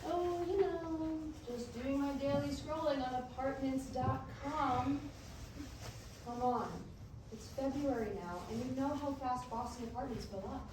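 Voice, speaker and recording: A woman's voice: high-pitched, fairly even intonation, a little slow. A woman playing a caring but pushy long-distance girlfriend in a staged musical reading, earnest and conversational. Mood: pleading. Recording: very echoey hall, distant mic, noisy.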